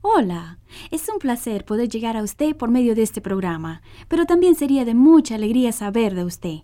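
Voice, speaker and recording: Woman, scripted radio announcement, formal, courteous, fairly high pitch. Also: very animated, normal speed, warm tone.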